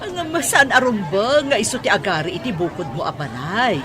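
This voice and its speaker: A woman's voice: mid-range voice, animated, normal speed, a crowd in the background, close to an everyday voice. A woman playing a concerned schoolteacher in a drama. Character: concerned, animated female schoolteacher. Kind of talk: radio-style drama dialogue.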